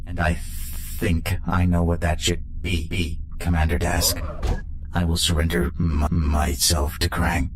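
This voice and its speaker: A man voicing a gentle robot professor who speaks earnestly, in a measured but halting, stammering way. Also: sits low, monotone. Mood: grave, resolved. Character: gentle male professor turned robot, earnest and self-sacrificing. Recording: faint background noise.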